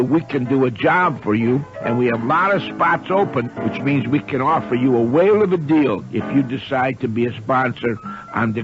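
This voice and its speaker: A man making an insistent, plain-spoken personal sales pitch on the radio. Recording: dull lo-fi radio recording with music. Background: clear music bed.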